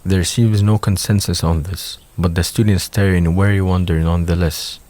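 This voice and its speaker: A low-pitched man reads scripted narration for an audio drama. His delivery is measured and descriptive, setting out each scene in the present tense. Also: steady tone, dark tone.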